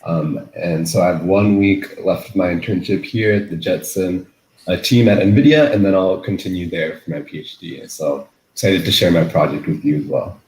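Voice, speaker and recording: Young man, very low voice, earnest, echoey. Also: normal speed, darkish tone. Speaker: intern. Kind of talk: casual self-introduction.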